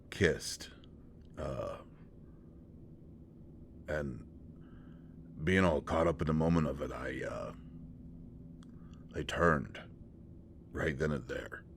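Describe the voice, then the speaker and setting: A man's voice: rumbling low, slow pace, long pauses, slight background noise, full character voice. A man playing a shy, awkward young shapeshifter in an intimate roleplay audio drama, stammering and hesitant as he talks to a close friend. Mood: bashful, reminiscing.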